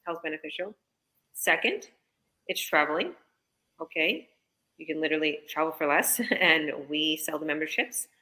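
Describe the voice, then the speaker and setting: Bright-voiced chatty Canadian coach woman. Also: sits low, expressive, moderate pace, long pauses, rough recording. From British Columbia, Canada. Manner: casual, chatty, explaining eagerly. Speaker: business coach, guest. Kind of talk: live online interview answers.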